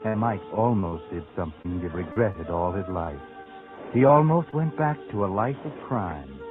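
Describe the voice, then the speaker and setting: A sardonic 1940s suspense narrator: lowish, animated, confiding, teasing, on muffled vintage radio. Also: male voice, moderate pace. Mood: knowing, confiding. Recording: muffled vintage radio recording over loud music.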